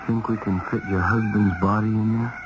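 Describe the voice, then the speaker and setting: An actor playing a blunt, quick-thinking detective with a clipped, direct delivery in a 1970s radio mystery. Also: male voice, fairly low pitch, fairly even intonation, natural voice. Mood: urgent, commanding. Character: blunt, quick-thinking male detective, hard-boiled and in command. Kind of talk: 1970s radio mystery acting with first-person narration. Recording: dull, hissy 1970s radio recording.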